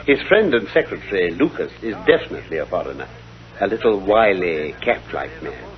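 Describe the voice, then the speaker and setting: Brisk british detective, precise n formal, very lively pitch, old muffled radio play sound. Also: male voice. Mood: intent, confiding. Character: brisk, self-assured gentleman detective, coolly reasoning. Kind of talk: vintage British radio drama acting. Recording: dull, lo-fi vintage radio recording.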